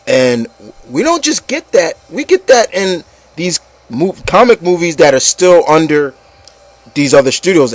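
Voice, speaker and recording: Blunt man ranting in a stammering flow. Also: on the high side. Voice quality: stammering, repeats words and phrases. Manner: blunt, exasperated, swearing. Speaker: host of an opinion show. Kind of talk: casual opinion rant with a co-host.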